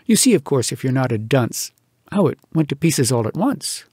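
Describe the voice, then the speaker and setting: Husky, animated man reciting humorous verse. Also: medium pitch, no room sound, studio quality. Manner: theatrical, animated delivery with dramatic pauses. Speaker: storyteller reading poems aloud. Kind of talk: expressive comic poetry recitation.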